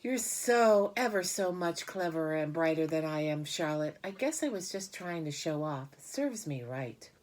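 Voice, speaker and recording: Storybook piglet, male, young, boastful and earnest, exclamatory, very animated pitch; female reader. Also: moderate pace, hardly any pauses. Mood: sad, humbled.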